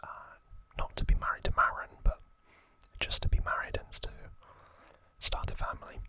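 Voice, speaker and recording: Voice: moderate pace, sharp tone, no room sound. An ASMR host whispering an informal, conversational recap, close to the microphone. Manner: casual, informal recap with repeated words for effect. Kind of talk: whispered ASMR storytelling and trivia.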